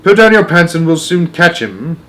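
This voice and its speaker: A man voicing angry storybook kitchen cooks, barking urgent shouts. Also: a little high, sing-song, moderate pace, pitched above the performer's own voice. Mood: aggressive urging. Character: angry mob of kitchen cooks hunting a mouse. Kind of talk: acted storybook dialogue.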